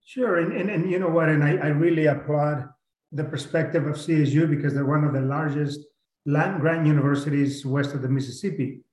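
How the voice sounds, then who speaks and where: Earnest county commissioner in a roomy hall. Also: male voice, on the high side, fairly even intonation, darkish tone. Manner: earnest, halting with many restarts. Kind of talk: public meeting discussion. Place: county meeting room. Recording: roomy meeting room sound.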